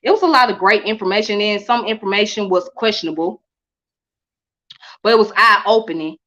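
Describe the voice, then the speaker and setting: A woman raised in Atlanta, a guest telling her story in a relaxed, repetitive, colloquial way. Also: mid-range voice, a little fast, muffled recording. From Midwest-born, raised in Atlanta. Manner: repeats herself, relaxed, colloquial. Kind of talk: casual interview storytelling.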